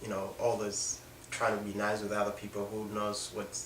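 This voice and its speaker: A young man from South Sudan with a South Sudanese accent tells a personal story unscripted, in a halting way with pauses mid-sentence. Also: on the low side, completely flat delivery, fairly bright tone. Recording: echoey room, distant mic, faint noise.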